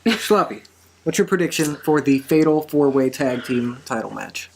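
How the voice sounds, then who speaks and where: A man's voice: medium pitch, lively intonation, normal speed, creaky, a little hiss or hum. A man hosting a wrestling predictions podcast in a casual panel discussion.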